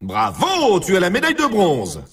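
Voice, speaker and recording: Punchy snowboard game announcer, brash teasing type, kinda high voice, sounds a bit off the mic. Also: male voice, wildly swooping pitch, normal speed, a bit bright, husky, recorded from a distance, full character voice. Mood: excited, triumphant. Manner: punchy exclamatory delivery in short scripted lines. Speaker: video game race announcer. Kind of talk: scripted sports announcer lines with colloquial address.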